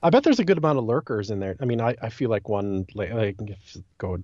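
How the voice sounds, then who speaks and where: A man's voice: lowish voice, very animated, quick pace, darkish tone. A man co-hosting a podcast in casual, conversational discussion with a self-deprecating manner. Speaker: podcast co-host. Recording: slightly dull lo-fi, roomy echo.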